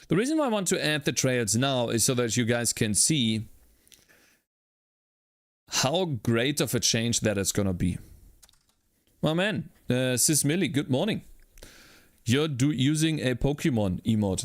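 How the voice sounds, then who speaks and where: A man chats casually while coding on a live stream, thinking aloud and greeting viewers one by one. His voice sits at an ordinary mid pitch. The sound is close and dry, with keyboard typing heard behind him. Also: very animated, measured pace, long pauses, dry recording. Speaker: livestreaming game developer.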